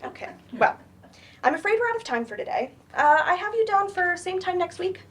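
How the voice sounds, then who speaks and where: A woman reading the part of a patient, earnest therapist in a staged play, reassuring and gently firm. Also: very high-pitched, very animated, exaggerated voice. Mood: encouraging, gently firm. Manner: reassuring, measured. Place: library event room, staged reading.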